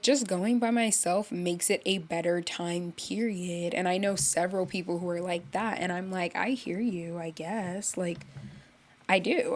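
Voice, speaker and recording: Young woman podcast host, stuffed-up voice, low-ish pitch, rambling casual storyteller full of "like". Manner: rambling, heavy use of "like", animated storytelling. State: congested. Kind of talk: casual solo chat.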